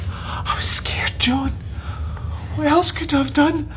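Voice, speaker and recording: High-voiced, frightened captive daughter. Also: female voice, steady tone, slow, in a whisper. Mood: frightened pleading. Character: frightened captive daughter, pleading with her captor. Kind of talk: radio drama acting.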